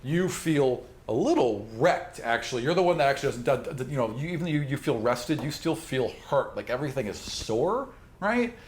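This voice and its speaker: A man's voice: medium pitch, sing-song, a little fast. A man narrating a tabletop game as game master in a conversational, emphatic way. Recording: echoey room with background noise.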